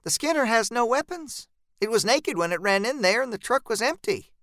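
A man voicing a blunt, resolute rural woman in a dark horror story, urgent and matter-of-fact. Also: very high-pitched, lively intonation, moderate pace, no room sound, clean studio sound, voice pushed up. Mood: firm, coaxing. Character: gritty rural woman, blunt, resolute monster-fighting neighbor. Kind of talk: dark horror audiobook character voice.

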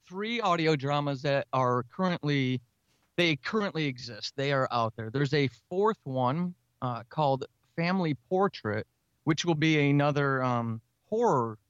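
A man's voice: fairly high pitch, measured pace, fairly bright tone, smooth, no echo, highs cut off. A man chatting casually as an interview guest, with a tongue-in-cheek, self-deprecating manner. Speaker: podcast guest, writer and audio drama creator.

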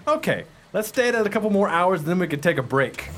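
A man's voice: high-pitched, sing-song, fast talker, a bit bright, full character voice. A man acting in a radio play as a brash, bossy veteran ice cream salesman with a hustler's patter. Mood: approving, bossy.

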